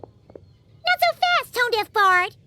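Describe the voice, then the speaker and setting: A woman voicing a tiny, cheeky, childlike fairy companion in a video game, squeaky and blunt. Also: big pitch swings, slow pace, sharp tone, full character voice. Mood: indignant. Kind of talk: video game character acting. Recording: music behind the voice.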